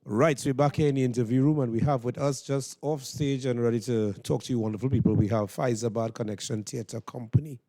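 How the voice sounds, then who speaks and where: A man with a Trinidadian accent chats as an interviewer. His voice is mellow and medium in pitch, recorded dry, and his questions ramble conversationally. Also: steady tone, brisk, hardly any pauses, no echo. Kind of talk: casual backstage interview. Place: interview room just off stage.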